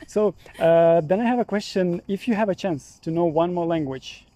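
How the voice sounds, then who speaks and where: A man interviewing strangers on the street, friendly and quick to laugh, with loose phrasing. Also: high-pitched, mellow. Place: outdoors on a street.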